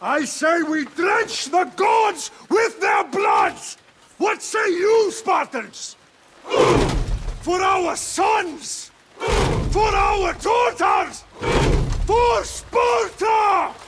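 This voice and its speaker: An actor playing a proud, solemn middle-aged Spartan king in a dramatic game cutscene, commanding and deliberate. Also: very high voice, sing-song, shouting, over a noisy crowd, very noisy, full character voice. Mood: roaring, rallying. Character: proud Spartan warrior king rallying his soldiers. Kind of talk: dramatic game cutscene acting, battle oratory.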